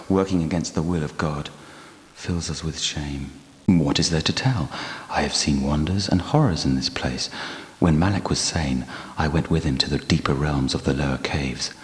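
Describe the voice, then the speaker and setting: An actor playing a proud, sorrowful, devout guardian knight in a video game, with grave delivery and formal, archaic diction. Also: male voice, a bass voice. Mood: grave, ashamed, then wistful, proud. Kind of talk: dramatic video game character acting. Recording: long cavernous hall reverb.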